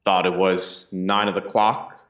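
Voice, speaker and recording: A man's voice: sits low, flat delivery, measured pace, a bit bright. A man playing a colonial Boston eyewitness giving plain testimony in a historical courtroom reenactment. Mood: matter-of-fact. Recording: roomy echo, distant microphone.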